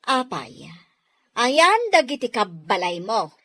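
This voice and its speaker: A woman playing a caring, concerned aunt in a family drama, expressive and sympathetic in manner. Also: on the high side, takes it slowly, full character voice. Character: caring, concerned aunt who indulges her niece. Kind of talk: Ilocano family drama acting.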